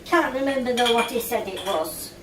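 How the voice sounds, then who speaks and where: A woman's voice: on the high side, expressive, normal speed, close to an everyday voice. A woman playing a lonely, nagging older mother with a Northern English accent in an audio drama, plaintive and homely. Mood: chatty, fussing. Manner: guilt-tripping, plaintive, homely. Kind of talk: character acting in audio drama. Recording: roomy, distant mic, faint noise.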